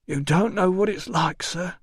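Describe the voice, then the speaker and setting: A wailing drunk porter. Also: male voice, high-pitched, unhurried, slightly rough, dry recording, clean studio sound, voice pushed up. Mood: maudlin. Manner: slurred, wailing, grief-stricken. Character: drunk weepy male army hospital porter.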